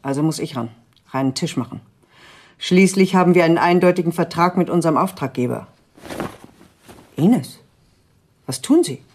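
An actress playing a cold, imperious villainous doctor in a radio drama, with a husky voice and sharp delivery. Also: female voice, low-pitched, lively intonation, normal speed, long pauses. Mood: cold, commanding.

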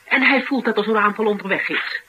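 An actress playing a flustered, blunt, protective interviewer in a radio drama, with a Netherlands Dutch accent and a halting, exasperated delivery. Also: female voice, medium pitch, lively intonation, sharp tone, poor audio. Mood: anxious, pleading. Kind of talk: naturalistic radio drama dialogue. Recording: faint background noise.

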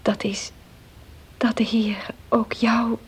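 Ailing, pious wife for period radio drama: very breathy, slow, tender and solemn. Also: female voice, a little high, level tone, heavily acted. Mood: fervent, devout.

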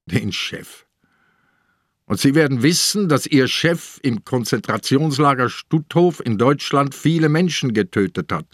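An actor playing a sick, irritable and stubborn old police inspector in a radio drama. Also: male voice, mid-range voice, animated, a little slow, no echo, studio quality. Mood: stern. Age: older. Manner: gruff, exasperated, confrontational.

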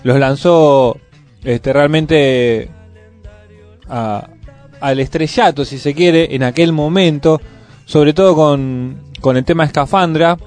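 Argentine male radio host, slightly high voice, conversational music commentary with faint music behind. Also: slow pace, a little mellow.

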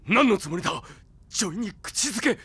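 An actor playing a smug, sinister young henchman in an audio drama, taunting with deliberate theatrical delivery. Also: sits high, big pitch swings, very noisy, heavily acted. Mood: shocked. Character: smug, sinister young male villain, coldly loyal henchman.

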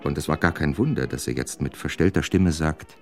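Measured man speaking over brass music. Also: rumbling low. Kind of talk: composed first-person literary statement. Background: brass jazz music, clearly heard.

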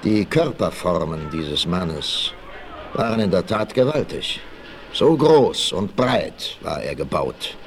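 Very animated male storyteller on old vinyl. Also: low-pitched, slow. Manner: theatrical, suspenseful delivery. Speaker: narrator. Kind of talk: dramatic scripted storytelling, 1960s radio play. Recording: vinyl record transfer with faint noise.

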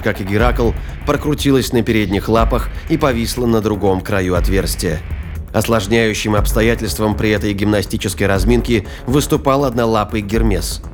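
Low-voiced male narrator over dramatic music. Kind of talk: scripted narration of sci-fi thriller audio drama.

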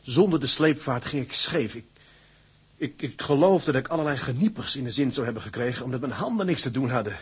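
A young seaman in a radio play, a mate on tugboats. The voice is very husky and middling in pitch. He is earnest and restless. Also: animated, a little fast. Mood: earnest, torn. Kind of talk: radio drama acting.

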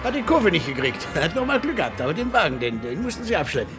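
An actor playing a chatty, colloquial roadside diner owner with a creaky voice in a 1950s German radio crime drama. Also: male voice, on the high side, very animated, fast talker, music in the background.

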